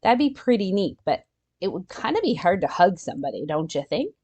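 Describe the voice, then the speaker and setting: A woman with a slightly low voice reads a picture book aloud for children. Her pitch swings widely as she explains words in simple terms and puts questions to her young listeners in an expressive, wonder-filled way. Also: fairly quick, breathy edge. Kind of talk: animated read-aloud with asides to young listeners.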